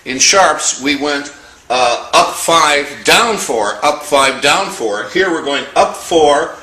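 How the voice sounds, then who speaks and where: Very slow-talking man teaching. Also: fairly high pitch, expressive, bright, forceful tone, echoey room. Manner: measured, step-by-step explaining. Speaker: music teacher. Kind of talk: explanatory music lesson, talking through examples.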